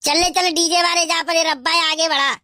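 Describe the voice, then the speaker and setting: A man voicing a bossy, irritable cartoon father bird, scolding in a rustic western Uttar Pradesh accent through a pitched-up effect. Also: steady tone, a little fast, pushed and bright, dry recording, clean studio sound, full character voice. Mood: bossy, irritated. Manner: scolding, rustic, repetitive cursing. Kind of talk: comic cartoon dub.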